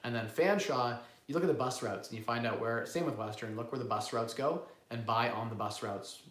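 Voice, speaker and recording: Hoarse man, live-stream host, rambling, echoey room, phone mic. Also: mid-range voice, brisk, fairly bright tone. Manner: rambling, self-correcting, repeats words. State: hoarse from a cold. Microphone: phone built-in mic, no lapel.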